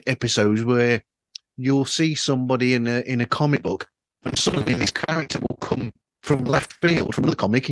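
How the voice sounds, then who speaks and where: A man's voice: fairly high pitch, no echo, low-quality recording. A man hosting a podcast in casual conversation, rambling toward his point, recorded on a troubled microphone. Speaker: podcast host. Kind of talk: casual interview chat. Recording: dry, faulty podcast microphone.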